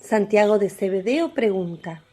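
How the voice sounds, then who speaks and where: A woman's voice: medium pitch, animated, moderate pace, dark tone, slightly rough. A woman narrating a religious radio drama in a composed, scripted storytelling style.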